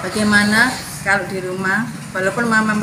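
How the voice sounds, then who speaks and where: A woman's voice: mid-range voice, fairly even intonation, moderate pace. A middle-aged woman, a preschool principal, explaining her views conversationally in an interview with many examples. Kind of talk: interview answer, explanatory talk. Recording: echoey room with clear background noise.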